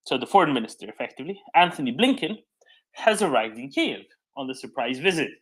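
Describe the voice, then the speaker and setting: A man's voice: mid-range voice, big pitch swings, fast talker, a bit bright, slightly rough, poor audio. A man hosting a live news discussion, explaining developments off the cuff and often checking in with a "right?". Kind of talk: unscripted news commentary and discussion.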